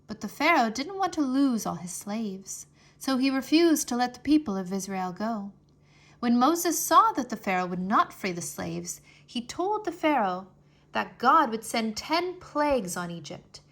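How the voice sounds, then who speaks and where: A woman reading a children's history story aloud in an expressive, deliberate, scripted storytelling manner. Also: on the high side. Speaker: storybook reader.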